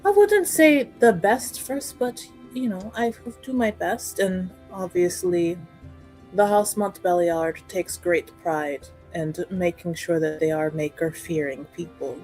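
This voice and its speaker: A woman playing a courteous, diplomatic noblewoman in a tabletop game, with measured, tactful phrasing. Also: on the low side, a little mellow, soft background music, rough recording. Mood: politely evasive.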